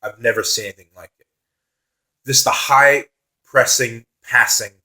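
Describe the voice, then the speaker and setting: Young man, football vlogger, dry slightly raspy voice, excitable, repeats himself for emphasis, middle-pitched. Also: normal speed. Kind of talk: casual solo reaction commentary.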